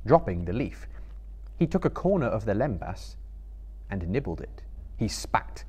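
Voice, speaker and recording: Low, husky male storyteller. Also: very animated, a little slow, mellow. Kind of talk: dramatic literary narration. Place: book-lined room before a small live audience.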